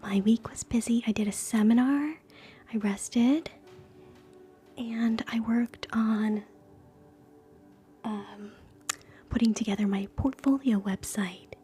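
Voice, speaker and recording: A woman hosting live ASMR in a soft, breathy whisper, gentle and soothing, moving between guided relaxation and quiet chat. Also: fairly high pitch, slow, pauses often, faint music behind. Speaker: ASMR streamer, host.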